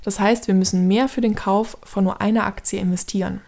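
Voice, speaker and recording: A woman's voice: medium pitch, fairly even intonation, brisk, gravelly, darkish tone. A woman aged thirty giving scripted explanatory narration as a podcast host, instructing the listener directly in a structured way.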